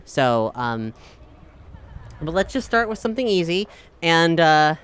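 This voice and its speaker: A man chatting casually as a tabletop role-playing player, often halting and correcting himself. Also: a little high, very animated, fairly bright tone, clear, resonant tone. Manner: halting, self-correcting speech. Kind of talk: casual in-game chat.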